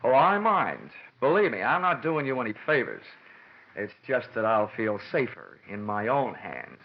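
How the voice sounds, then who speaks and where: Wisecracking hardboiled radio detective, animated. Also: male voice, mid-range voice, normal speed. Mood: urgent, wry. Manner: sardonic hardboiled delivery, slangy quips. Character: wisecracking, cocky male freelance insurance investigator. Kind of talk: 1940s-50s radio detective drama acting. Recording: dull vintage radio recording, music behind.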